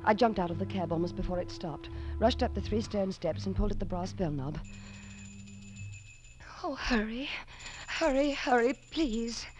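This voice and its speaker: A woman's voice: medium pitch, animated, moderate pace, darkish tone, lightly acted. Anxious young woman played by an actress in radio drama. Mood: frantic pleading. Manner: dramatic, urgent, pleading. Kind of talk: 1940s radio drama, first-person narration and dialogue. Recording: old 1940s radio broadcast with music.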